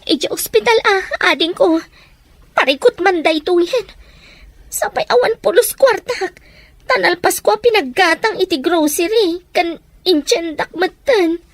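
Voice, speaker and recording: A woman's voice: very high voice, measured pace, dry recording. A woman playing a burdened, reproachful young eldest daughter in a radio drama, with a Filipino accent. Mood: distressed.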